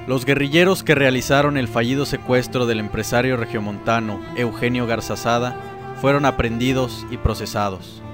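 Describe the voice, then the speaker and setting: A Mexican man narrates a radio chronicle in a formal, serious way. His voice is medium in pitch, with plucked guitar music playing loudly behind it. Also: fairly even intonation, moderate pace.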